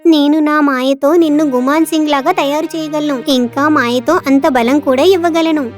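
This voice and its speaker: Female actor as animated magical goat: high voice, clever, reassuring, faint music bed. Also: normal speed, natural voice. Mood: confident plotting. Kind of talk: animated fable dialogue.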